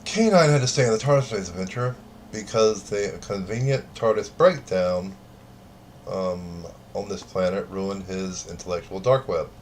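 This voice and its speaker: A man reading party game cards aloud in casual chat, with a dry, deadpan delivery. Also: low voice, normal speed, a little hiss or hum. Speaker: card game player.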